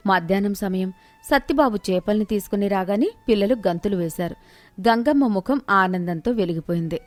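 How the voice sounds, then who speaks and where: A woman's voice: medium pitch, brisk, a little mellow, dry recording, faint music behind. A woman narrator reading a scripted moral tale in storytelling style.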